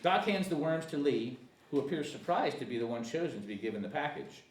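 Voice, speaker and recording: Animated man narrating in an echoey room. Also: a little high, brisk, distant mic. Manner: stumbles and repeats words while reading. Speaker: stage-direction narrator. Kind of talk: table read of a comedy screenplay. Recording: echoey room with faint noise.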